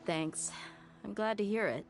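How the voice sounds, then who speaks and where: A woman's voice: mid-range voice, measured pace, soft background music, lightly acted. A woman voicing a confident, easygoing bodyguard in a video game, casual and teasing. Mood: amused, relaxed. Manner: casual, teasing, self-assured.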